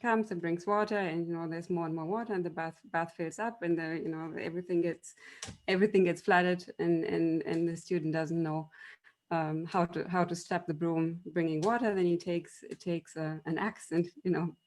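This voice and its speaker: German-accented woman lecturer, halting delivery. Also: on the low side, fairly even intonation, a little mellow. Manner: hesitant, many ums and restarts; explanatory, storytelling tone. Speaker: university machine learning lecturer. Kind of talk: debate panel opening remarks.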